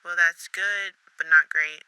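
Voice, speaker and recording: A woman's voice: on the low side, fairly even intonation, a little slow, bright, forceful tone, smooth, dry recording, low-quality recording. A woman playing a demanding boss of a shadowy project in an amateur radio drama, curt and commanding. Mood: stern, demanding.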